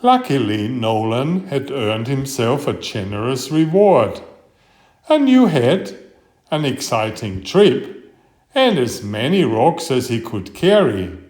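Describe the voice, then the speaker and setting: A man reading a children's bedtime story aloud with expressive, deliberate storytelling. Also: medium pitch, echoey room. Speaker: storybook reader.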